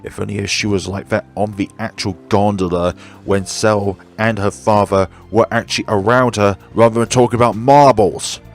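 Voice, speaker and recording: A man's voice: fairly low pitch, fairly even intonation, normal speed, few pauses. A man hosting a let's play of a visual novel, adding joking, rambling asides to the story. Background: game music.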